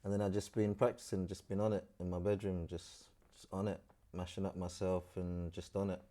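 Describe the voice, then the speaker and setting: A middle-aged man from Trinidad, telling his story as an interview guest in animated, slangy casual talk. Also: low voice, fairly quick. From Trinidad and Tobago.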